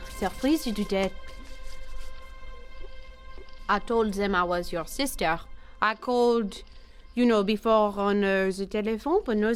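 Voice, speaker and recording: An actress playing an anxious stagehand, brusque but tender, speaking halting foreign English in an audio drama. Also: female voice, medium pitch, echoey room, close to an everyday voice. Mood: nervous, grumbling. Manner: halting, hesitant, broken English.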